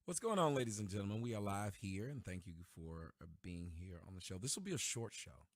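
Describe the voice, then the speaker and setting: A man's voice: on the low side, lively intonation, a little fast, no room sound, studio quality. A man running a casual live stream, losing his voice and trading loose, needling banter with viewers in chat. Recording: noisy background under voice.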